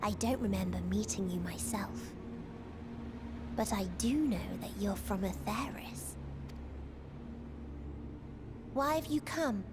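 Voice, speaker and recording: A woman playing a sorrowful, nihilistic young otherworldly being in a video game, speaking in solemn, measured, fatalistic lines. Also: medium pitch, noisy background, close to an everyday voice. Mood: cold reproach. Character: sorrowful, nihilistic young female hive-mind being from the stars. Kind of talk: video game cutscene acting. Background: music.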